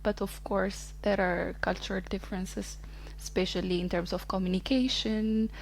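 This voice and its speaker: A woman's voice: medium pitch, little pitch movement, moderate pace, creaky, slight background noise, rough recording. Easygoing woman in her twenties. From Ethiopia. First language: Amharic. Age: 20s. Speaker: podcast guest. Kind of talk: casual interview chat.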